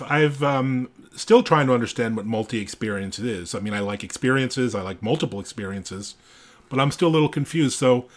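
A man's voice: mid-range voice, fairly bright tone. A man co-hosting a podcast in casual banter, with a wry, joking manner. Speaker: podcast co-host.

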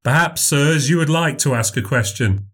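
Guy doing a fussy memory showman, raised pitch, all sir and madam, polite and showy. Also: normal speed, a bit bright, husky. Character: eager middle-aged male memory showman, formal.

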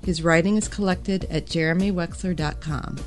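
A woman announces from a script for a podcast. Her voice is very low for a woman, and music plays behind it. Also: steady tone, normal speed.

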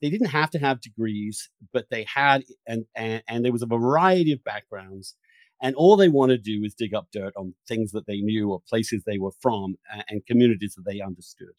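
A man's voice: on the low side, normal speed, no echo. A man hosting a podcast conversation, talking in an emphatic, opinionated way with some swearing. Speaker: podcast host.

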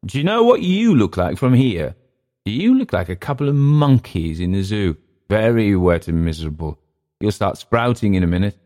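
A man voicing a bitter, dissolute Englishman with an English accent, sneering and halting by turns. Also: fairly low pitch, very animated, darkish tone, pitched above the performer's own voice. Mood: mocking.

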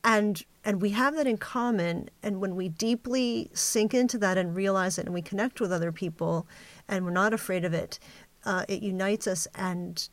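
A woman hosting a reflective interview, speaking earnestly and deliberately, repeating key phrases for emphasis. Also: medium pitch, no room sound. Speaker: interview host.

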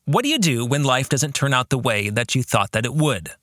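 A man's voice: mid-range voice, lively intonation, brisk, a bit bright, no room sound, studio quality. A man talking personally as a podcast host and author, earnest and leaning on key words for emphasis. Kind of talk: personal podcast talk about own writing.

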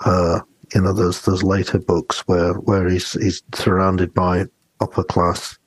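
A man's voice: low-pitched, completely flat delivery, measured pace, slightly rough, no room sound. A man co-hosting a podcast, chatting casually in a reflective, hesitant way and giving his opinions. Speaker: podcast co-host. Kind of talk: casual chat about books and drama.

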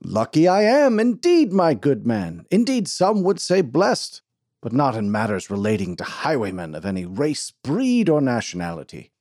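A man voicing a pompous middle-aged snake-oil doctor who speaks in grandiose, drawn-out oratory. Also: medium pitch, huge pitch swings, darkish tone, no echo, clean studio sound, pitched above the performer's own voice.

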